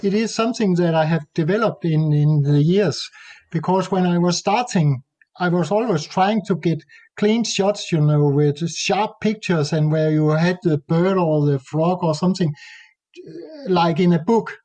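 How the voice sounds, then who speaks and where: A Danish man speaking English with a Danish accent, talking haltingly in a casual interview and often pausing to search for words. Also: on the high side, darkish tone. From Denmark. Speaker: nature photographer guest.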